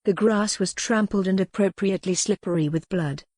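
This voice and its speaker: A woman's voice: mid-range voice, moderate pace, darkish tone, dry recording, studio quality. A woman reading a historical romance novel as a scripted audiobook narration.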